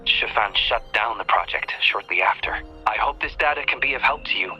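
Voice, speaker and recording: A polite brainy game researcher, really bright sharp voice, mid pitch, faint music behind. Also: male voice, expressive, a little fast, poor audio. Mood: polite, composed. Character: courteous, brainy young male academy researcher ally. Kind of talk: video game voice acting.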